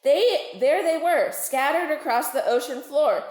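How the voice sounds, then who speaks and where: A woman reading a children's storybook aloud like a teacher, deliberate and expressive with dramatic emphasis. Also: high-pitched. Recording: roomy, echoing home recording.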